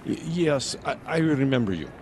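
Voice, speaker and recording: An actor playing a nervous Viennese porter in a radio drama, hesitant and stammering, with a German accent. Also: male voice, medium pitch, sing-song, moderate pace, a little mellow, a little hiss or hum, close to an everyday voice.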